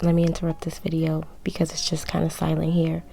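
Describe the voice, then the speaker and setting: A young woman with a hoarse, raspy voice narrating a sewing tutorial in a casual, rambling, self-mocking way. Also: monotone, fast talker, mellow. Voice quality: raspy, strained low voice. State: hoarse from projecting through a mask.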